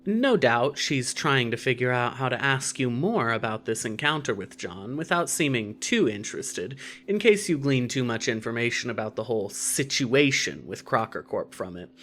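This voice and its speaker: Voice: mid-range voice, fairly bright tone. A narrator reading scripted second-person fiction for an audio story.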